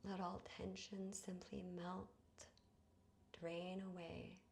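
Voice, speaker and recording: A woman narrates a guided meditation very slowly and evenly, in a soothing, hushed voice. Her phrases trail into breathiness, with long pauses between them. Faint ambient noise sits behind her. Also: fairly low pitch, flat delivery, gravelly. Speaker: yoga nidra guide.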